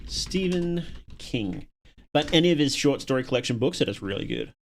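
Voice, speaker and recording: A man's voice: medium pitch, sing-song, normal speed, a little hiss or hum. A man hosting a casual livestream, chatting to viewers and thinking aloud in a rambling, opinionated way. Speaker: livestream host.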